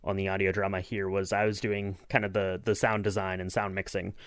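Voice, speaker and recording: A man's voice: low voice, flat delivery, fairly quick, a bit bright, dry recording, clean studio sound. A man co-hosting a podcast, chatting casually and rambling with lots of hedges. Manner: rambling, hedging with kind of and you know. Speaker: podcast host and sound designer. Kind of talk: casual behind-the-scenes chat.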